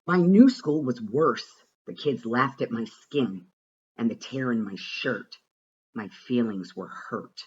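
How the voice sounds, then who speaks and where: A man reading a rhyming children's storybook aloud with a rhythmic, expressive and deliberate delivery. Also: medium pitch, husky, muffled recording. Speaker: reader of a children's picture book.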